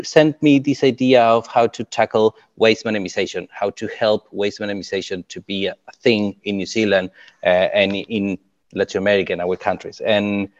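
Uruguayan man, low voice, dull dry audio. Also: no room sound. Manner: hesitant, many fillers and restarts. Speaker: climate tech startup co-founder. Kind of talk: interview answers.

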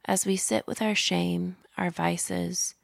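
A woman reading a liturgical prayer in measured, solemn phrases. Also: on the low side, steady tone, vocal fry, dry recording, studio quality. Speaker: prayer leader.

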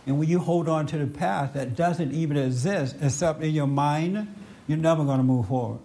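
A man's voice: fairly high pitch, moderate pace, gravelly, mellow. A man hosting an unscripted, opinionated group discussion. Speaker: host leading a group discussion.

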